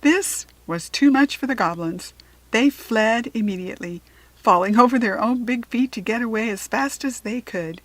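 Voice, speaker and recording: A woman with an American accent narrating a children's storybook in an expressive, careful read-aloud manner. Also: fairly high pitch, moderate pace, no echo.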